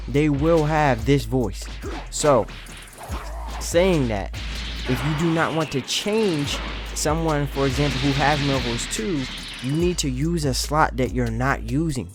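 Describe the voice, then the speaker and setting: A man narrating a step-by-step gaming tutorial in an instructional way, often checking in with the listener as he goes. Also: mid-range voice.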